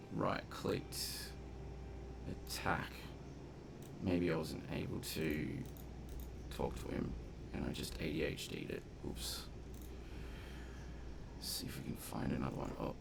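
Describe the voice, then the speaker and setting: A man's voice: deep voice, expressive, takes it slowly, creaky, pauses often, noisy background. A man giving casual, playful gameplay commentary, half muttering his reactions to himself. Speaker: game streamer and commentator.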